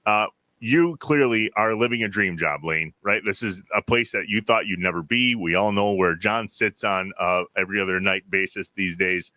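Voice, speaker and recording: A man's voice: lowish voice, normal speed, pushed and bright, no echo. An American man hosting a podcast, putting questions to his guests in casual chat. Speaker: podcast host.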